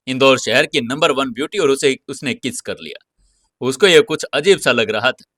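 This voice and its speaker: A man narrating an audio story in a dramatic, expressive scripted style, close on the mic. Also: mid-range voice, dry recording.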